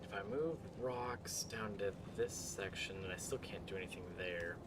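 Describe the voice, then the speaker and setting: Low-voiced man musing over his game. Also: moderate pace, heavy background noise. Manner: mumbling to himself, musing over puzzles, greets chat. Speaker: game streamer. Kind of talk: casual live-stream commentary while playing.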